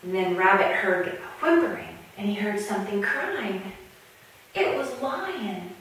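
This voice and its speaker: A woman telling a children's story in an animated, playful way with drawn-out emphasis. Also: mid-range voice, a little hiss or hum. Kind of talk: animated storytime narration with puppets. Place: echoing room, speaking to a camera. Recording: very echoey room, far from mic.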